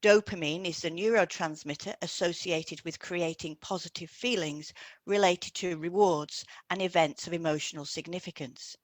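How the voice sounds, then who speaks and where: A woman co-hosting an educational podcast, reading scripted explanations in a measured way. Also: on the low side, no room sound. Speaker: podcast co-host, hypnotherapist.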